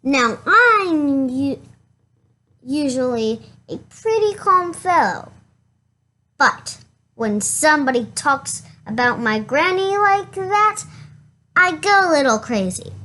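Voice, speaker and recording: Someone reading a children's storybook aloud in a halting way, stumbling over words and going back over them. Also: medium pitch, sharp tone, a little hiss or hum. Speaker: reader of a children's picture book.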